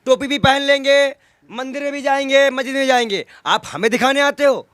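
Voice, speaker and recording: A man's voice: very high-pitched, a little fast, fairly bright tone, dry recording. A man in a heated political interview, arguing emphatically with rhetorical questions and repeated phrases. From North India.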